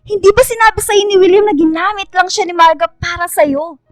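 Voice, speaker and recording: Radio drama role: frank, caring young woman, high voice, dry sound, music underneath. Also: fairly quick, dry recording. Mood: blunt, confronting. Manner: blunt, emphatic. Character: frank, caring young woman confronting her best friend.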